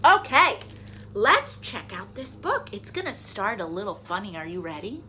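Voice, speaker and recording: A woman hosting story time for young children, animated and sing-song, talking to them with questions and encouragement. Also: mid-range voice, moderate pace, a little hiss or hum. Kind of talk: children's storytime read-aloud with singing and asides.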